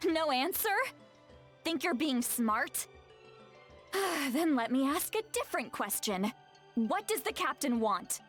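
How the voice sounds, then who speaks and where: A woman's voice: high voice, big pitch swings, slow, long pauses, soft background music, heavily acted. A woman playing a feisty, exasperated granny in a video game, stern and dramatic as she scolds. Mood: scolding, exasperated.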